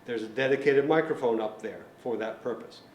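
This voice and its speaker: A distant, echoey recording of a man with a middle-pitched voice, caught in a large hall with faint noise. He gives a technical tutorial in a plain, methodical manner, explaining equipment step by step. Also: fairly quick, darkish tone. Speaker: technician instructor. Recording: roomy echo, distant mic, faint noise.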